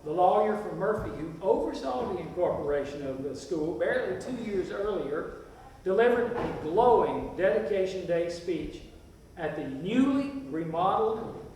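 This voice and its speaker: A man's voice: sits high, animated, measured pace, a little mellow. A man playing the storytelling narrator of a historical stage play, addressing an audience in scripted lines. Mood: recounting. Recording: echoey hall from far off, faint noise.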